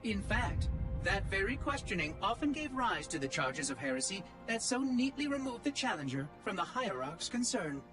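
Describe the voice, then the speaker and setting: An actor playing a fussy, condescending machine intelligence in a video game, with precise, prim and patronising phrasing. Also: male voice, high voice, big pitch swings, moderate pace, music in the background. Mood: slyly probing. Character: fussy, condescending male artificial intelligence machine, sly and verbose. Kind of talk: video game cutscene acting.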